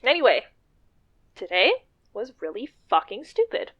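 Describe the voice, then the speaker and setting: A woman's voice: medium pitch, big pitch swings, a little slow, breathy edge. A woman playing an outspoken, ambitious female activist leader in a naturalistic radio play, on a dull recording. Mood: weary, exasperated.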